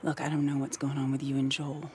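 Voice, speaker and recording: A woman acting a middle-aged American town leader in a video game, gently insistent and caring. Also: very deep voice, monotone, fast talker, slight background noise. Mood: concerned.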